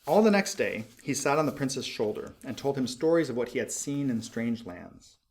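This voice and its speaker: A man narrating a literary story expressively, with measured, deliberate phrasing. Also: medium pitch, big pitch swings. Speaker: audiobook narrator.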